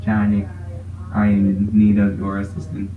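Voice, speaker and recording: A man's voice: lowish voice, completely flat delivery, moderate pace, narrow, tinny audio, lightly acted. A man voicing a mock-pompous, scheming cartoon villain in an improvised comedy fandub. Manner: mock-pompous, rambling, silly. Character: goofy scheming male villain, mock-pompous cult-leading mad genius. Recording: roomy, distant mic with clear noise.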